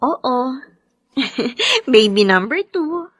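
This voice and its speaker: A young Filipino wife in a radio drama. Her voice is high and swings widely with feeling, expressive and devoted, in a dry, close recording. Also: drawn-out words, dry recording, heavily acted. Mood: happy, excited. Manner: expressive, emotive. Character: young soldier's wife, devoted, emotional expectant mother.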